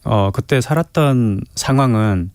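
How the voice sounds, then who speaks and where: A man's voice: medium pitch, a little slow, darkish tone, dry recording. A young man in his twenties from North Korea with a North Korean accent, answering interview questions politely and a bit hesitantly, often laughing lightly. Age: 20s. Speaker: guest. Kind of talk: radio interview. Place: radio studio.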